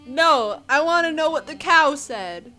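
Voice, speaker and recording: A woman streamer loudly commenting over gameplay in an indignant, joking way. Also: high voice, expressive, measured pace, faint music behind.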